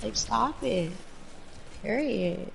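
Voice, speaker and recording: A woman's voice: medium pitch, animated, extremely slow, gravelly, noisy background. An American woman hosting a podcast, giving her opinions in a blunt, emphatic, profane casual chat. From United States. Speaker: podcast host.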